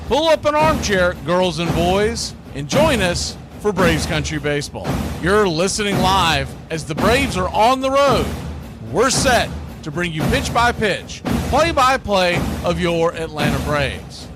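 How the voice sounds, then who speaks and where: A man's voice: high voice, lively intonation, takes it slowly. A man hosting a baseball radio broadcast, giving a hearty, folksy scripted welcome with showman flair. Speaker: baseball play-by-play radio host. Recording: music under the voice. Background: music.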